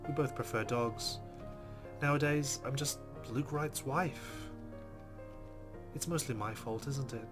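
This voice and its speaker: Male let's player reading a visual novel aloud, mid-pitched, with clear music underneath. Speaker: let's play host. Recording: music behind the voice.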